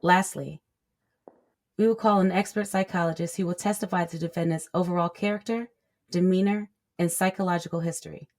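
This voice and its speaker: A woman playing a stern, accusatory prosecutor in a scripted courtroom drama, with a formal, measured, pointed delivery. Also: lowish voice, fairly even intonation, darkish tone, lightly acted.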